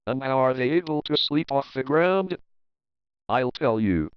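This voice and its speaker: A man's voice: mid-range voice, expressive, a little slow, smooth, dry recording, highs cut off. A man narrating a children's picture book as a readalong, reading rhyming verse expressively and rhythmically. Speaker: storybook narrator.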